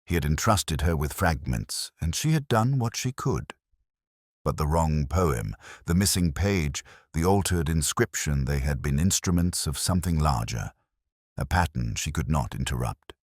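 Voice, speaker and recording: A man playing a melancholy middle-aged police inspector, narrating his mystery in measured, solemn, literary prose. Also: very deep voice, lively intonation, no echo, clean studio sound. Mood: somber, reflective. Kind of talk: scripted first-person literary mystery narration.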